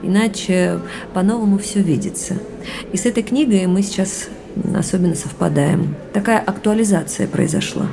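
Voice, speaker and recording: A woman's voice: mid-range voice, normal speed, creaky, crowd noise, close to an everyday voice. An actress playing an articulate, self-assured young woman with an informal manner in an audio drama. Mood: confident, thoughtful. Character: articulate, lively young woman, opinionated reader and friend.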